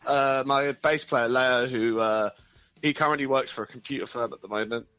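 A relaxed man chatting about his band, stumbling through fillers as he goes. His voice is bright and a bit tense, the sound dry and close. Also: medium pitch, moderate pace, clear, resonant tone, no echo, dull audio. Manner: relaxed, hesitant, lots of fillers. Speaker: band member, interview guest. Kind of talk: casual interview. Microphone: phone line.

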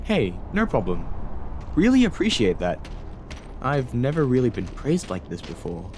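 An earnest, determined cartoon character, acted by a man. The mid-pitched voice is animated and encouraging, with clear background noise. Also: moderate pace. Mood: upbeat.

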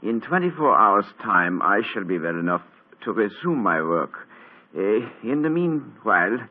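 An actor playing a weak, wounded German count and diplomat with a German accent, speaking formally and urgently on a tinny old radio broadcast. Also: male voice, lowish voice, big pitch swings, normal speed, husky.

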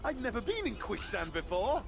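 High-pitched, excitable young adventurer. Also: male voice, moderate pace, gravelly, heavily acted. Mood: thrilled. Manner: eager, dramatic, expressive. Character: excitable, adventurous young male desert companion. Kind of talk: video game voice acting. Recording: game music behind the voice. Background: background music.

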